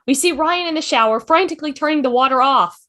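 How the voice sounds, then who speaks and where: A woman's voice: sits high, fast talker, fairly bright tone. A woman reading scripted stage directions as narrator in an online table read. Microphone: video call.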